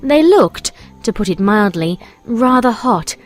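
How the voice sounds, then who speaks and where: An Englishwoman reading scripted storybook narration in a measured, expressive storytelling manner. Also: medium pitch. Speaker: fan fiction reader and narrator. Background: music.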